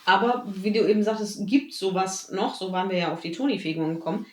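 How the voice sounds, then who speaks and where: A woman's voice: medium pitch, fast, slight background noise. A woman chatting casually with friends as a podcast co-host. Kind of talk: casual chat among friends. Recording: echoey room.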